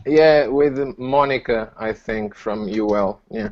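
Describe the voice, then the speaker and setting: A man with a Portuguese accent, a native Portuguese speaker, chatting as a guest on a video call, halting and searching for words in English with frequent laughs. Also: medium pitch, a little mellow, lo-fi sound. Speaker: guest reviewer. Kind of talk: casual chat on a video call.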